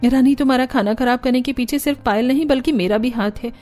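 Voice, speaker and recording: A woman's voice: high voice, quick pace, darkish tone, close to an everyday voice. A woman voicing a jealous but remorseful elder daughter-in-law in a cartoon drama, speaking plainly and earnestly. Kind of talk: Hindi cartoon family drama.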